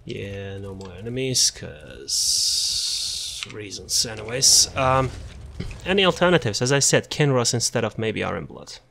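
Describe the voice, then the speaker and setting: Guy talking through his game build, kinda low voice, loose and rambly, faint room hum behind. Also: unhurried, vocal fry. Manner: relaxed, rambling, many fillers and restarts. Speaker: gaming content creator. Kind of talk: casual build showcase commentary.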